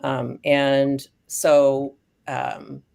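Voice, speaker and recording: Low gravelly woman, guest expert on old dogs, casual chat with lots of ums. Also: little pitch movement, drawn-out words, a little mellow. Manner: thoughtful, explains research, frequent ums and restarts. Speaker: guest expert on canine cognitive decline. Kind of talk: casual explanatory conversation.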